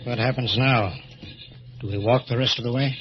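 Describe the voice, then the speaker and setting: A man's voice: mid-range voice, fairly even intonation, normal speed, close to an everyday voice. An actor playing an apprehensive but defiant male hostage in an old-time radio thriller. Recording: muffled vintage radio, very loud music.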